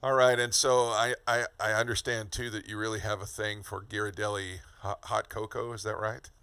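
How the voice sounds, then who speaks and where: A man from Kentucky hosting a casual interview, friendly and a bit halting, with many fillers and restarts. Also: lowish voice, level tone, bright, forceful tone, clear, resonant tone, dry recording. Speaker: podcast host.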